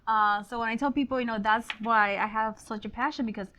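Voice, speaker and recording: A woman's voice: on the high side, fairly even intonation, fairly quick, a little hiss or hum. A woman in her forties, from Honduras and raised in Miami, a real estate agent chatting as an interview guest and laughing often. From Honduras, grew up in Miami. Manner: warm, laughs often, some fillers. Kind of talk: casual interview chat. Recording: slightly dull lo-fi sound.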